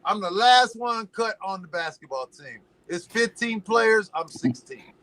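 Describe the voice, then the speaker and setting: A man from Los Angeles chatting casually as a podcast guest, an animated, slangy storyteller who drifts into preachy musings. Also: high voice, a little slow. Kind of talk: casual chat.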